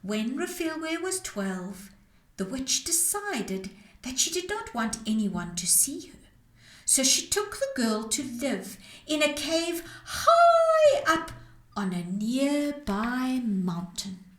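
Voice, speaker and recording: A woman storyteller reading a storybook aloud to children in an expressive, dramatic way with deliberate phrasing. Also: high-pitched. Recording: roomy, echoing sound.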